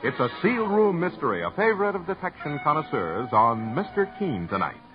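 A man's voice: a little high, big pitch swings, fairly quick. Vintage male radio announcer, formal. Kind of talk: scripted announcement. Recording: dull vintage radio broadcast with music.

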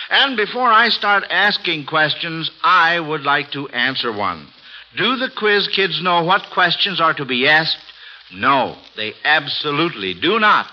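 Male quiz host, vintage radio: high-pitched, very bright, avuncular and folksy. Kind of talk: old-time radio quiz hosting. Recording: dull vintage radio broadcast.

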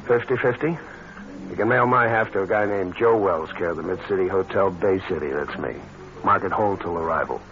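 A low, smooth American schemer from an old radio crime play. He talks in clipped, hard-boiled slang with cold calculation. The recording is dull and slightly noisy. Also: a little fast, fairly bright tone. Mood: slick, persuasive. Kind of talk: vintage American crime radio drama acting.

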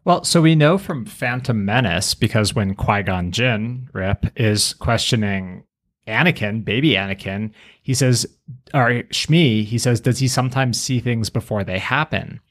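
A man's voice: medium pitch, a little slow, fairly bright tone. A man co-hosting a podcast, theorizing casually as a fan and slipping into quoted character lines. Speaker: podcast co-host.

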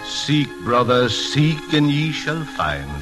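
An actor playing a pious, fatherly, slyly genteel deacon with courtly, unhurried speech in an old-time radio drama, with a faint hiss. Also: fairly high pitch, big pitch swings, over loud music. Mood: preachy.

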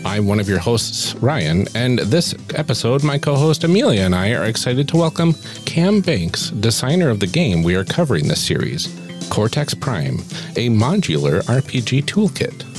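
A man's voice: mid-range voice, big pitch swings, normal speed, soft background music. A man hosting a role-playing game podcast, reading the scripted show opening with enthusiasm. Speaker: podcast host.

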